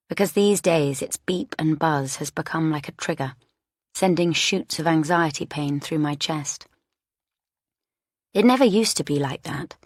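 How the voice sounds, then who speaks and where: A woman's voice: sits low, dry recording, clean studio sound. A woman narrating a first-person thriller audiobook from a script.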